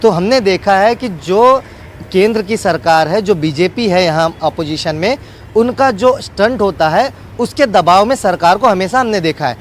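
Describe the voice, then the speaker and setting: A man's voice: sits high, lively intonation, normal speed, slight background noise. A man giving a wordy, unscripted live news report as a field reporter, often repeating set phrases.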